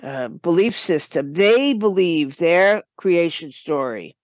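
A woman speaking as a writer in a podcast interview, explaining her work haltingly with broken-off sentences. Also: fairly low pitch, lively intonation, dry recording, highs cut off. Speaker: guest, writer and audio drama producer.

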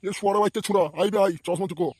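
Man voicing a high-pitched, rapid-fire bossy cartoon elder. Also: steady tone, mellow, slightly rough, no room sound. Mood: bossy, mocking. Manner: scolding, blustering, swearing. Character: gruff, bossy, foul-mouthed male elder in a comedy cartoon. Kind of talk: slapstick comedy cartoon dialogue.

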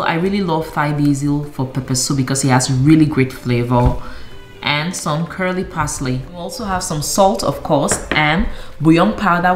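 Low-voiced woman cooking in echoey kitchen. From Cameroon. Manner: enthusiastic, explains each step while cooking. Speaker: cooking show host. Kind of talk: cooking tutorial. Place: home kitchen, indoors. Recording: echoey kitchen with faint music.

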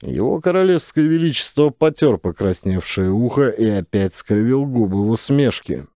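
A man narrates a satirical story in a scripted, acted style. His voice is mellow and mid-pitched, recorded dry and close. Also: animated, normal speed, no echo. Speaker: actor narrating.

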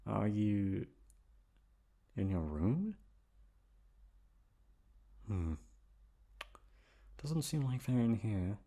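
Man acting a slow, teasing soft-dom boyfriend. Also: on the low side, exaggerated voice. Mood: playful, searching. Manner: playful, teasing, drawn-out coaxing delivery. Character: teasing, affectionate soft-dominant young boyfriend, playful and coaxing. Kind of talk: roleplay ASMR audio acting.

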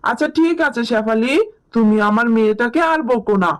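A performer voicing a gentle, reassuring animal father in a children's cartoon, speaking in a soothing, earnest manner. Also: medium pitch, a little fast, clean tone, lightly acted. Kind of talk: Bengali children's cartoon voice acting.

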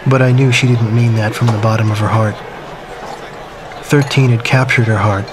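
An actor playing a wistful man recalling his wartime youth in measured, somber, reflective narration for a game. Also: lowish voice, fairly even intonation, background noise, lightly acted. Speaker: story narrator. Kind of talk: scripted reflective first-person narration.

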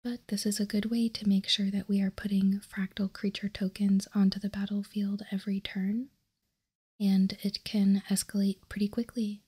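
A woman whispering softly and calmly in an ASMR style, explaining and reading out text as a host, close to the microphone. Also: medium pitch, breathy edge. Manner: soft, unhurried, calm explaining. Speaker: host of card-game ASMR channel.

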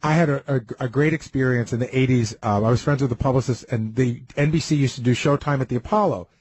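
A man's voice: medium pitch, fast, a little mellow, slight background noise. A man chatting casually on a radio talk panel, telling anecdotes in a blunt, profane way.

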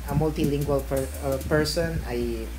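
A man's voice: fairly high pitch, moderate pace, loud music behind the voice. A young man with a Filipino accent, a native Filipino speaker, vlogging casually in a small room into a handheld phone, rambling and correcting himself with frequent chuckles. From Philippines. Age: young adult. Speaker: tech vlogger. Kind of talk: casual vlog. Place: small home room indoors. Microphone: phone camera held at arm's length.

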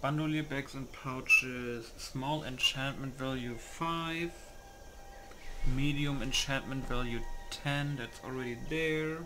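A man's voice: mid-range voice, slow, heavy background noise. A man giving casual commentary while setting up a game, muttering to himself and reading settings aloud in clipped phrases. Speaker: gaming video host. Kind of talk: casual gameplay setup commentary. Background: music.

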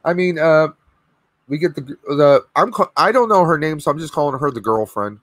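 Hoarse stream host, thirties, talks in a dry, close, higher voice with lots of fillers. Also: male voice, expressive, normal speed, a bit bright, no room sound. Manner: chatty, rambling with fillers. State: losing his voice, clearing throat. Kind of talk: casual live stream chat. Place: home room with collectibles, indoors. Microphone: webcam video call.

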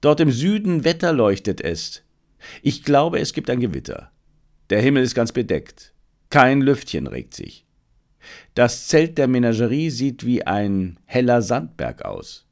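A man voicing an observant, brooding gentleman detective in an audiobook crime story, with measured, terse delivery. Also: mid-range voice, very animated. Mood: watchful.

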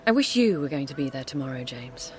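An actress playing a gentle, regretful young woman in a radio drama. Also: a bass voice, sing-song, quick pace. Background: music.

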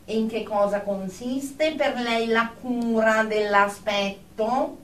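A woman's voice: fairly high pitch, slow pace. An actress playing a stern, formal female therapist in a radio drama, speaking in an officious, clinical manner. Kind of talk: Italian radio drama acting. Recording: echoey room, distant mic.

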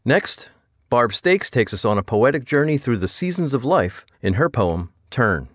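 A man's voice: mid-range voice, very animated, moderate pace, no echo, clean studio sound. A man hosting a podcast, reading a scripted introduction to the show. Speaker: podcast host.

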